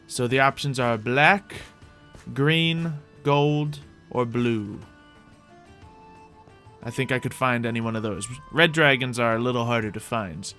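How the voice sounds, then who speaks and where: A man's voice: mid-range voice, unhurried, bright, forceful tone, soft background music. A man giving playful, improvised gameplay commentary as a host, thinking aloud to viewers while roleplaying. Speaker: gaming host playing a roleplay character.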